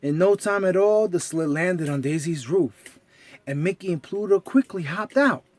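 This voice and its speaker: A man reading a story aloud to children in an animated, theatrical storytelling style. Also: sits high, moderate pace.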